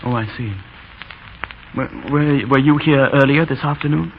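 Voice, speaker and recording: An actor playing a shy, formal English schoolmaster husband with hesitant speech and dry humour, in 1930s radio drama style. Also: male voice, medium pitch, steady tone, normal speed, close to an everyday voice. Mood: stern, relenting. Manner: hesitant, formal, dryly humorous. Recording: dull, noisy 1930s radio recording.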